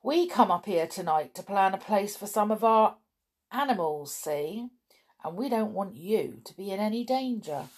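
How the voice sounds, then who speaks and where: A woman voicing a rough male circus man who is polite only with great effort. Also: medium pitch, lively intonation, normal speed, a little mellow, voice pushed up, lightly acted. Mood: gruff.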